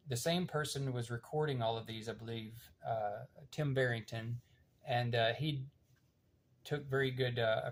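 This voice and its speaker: An older man giving a casual, unhurried hands-on demonstration, halting now and then as he thinks aloud while working. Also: medium pitch. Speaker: demonstrator of reel-to-reel tape machines.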